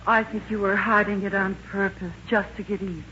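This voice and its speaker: A woman's voice: mid-range voice, little pitch movement, fairly quick, sharp tone, a little hiss or hum, close to an everyday voice. An actress playing a polite, coy young woman in a 1940s romantic radio comedy. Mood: coaxing. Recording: muffled vintage radio recording.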